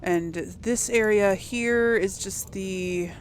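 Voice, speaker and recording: Unhurried woman thinking aloud while gaming. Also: mid-range voice. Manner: rambling, musing to herself. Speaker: let's play host. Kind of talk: casual game commentary, thinking aloud. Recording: faint background noise.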